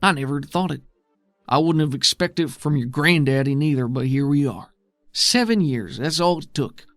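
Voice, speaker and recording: A man playing a bitter, worried, steadfast man defending his underground city in a tabletop game, with gruff folksy speech. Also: on the high side, lively intonation, moderate pace, a bit bright, no echo, studio quality, soft background music. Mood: bitter, indignant.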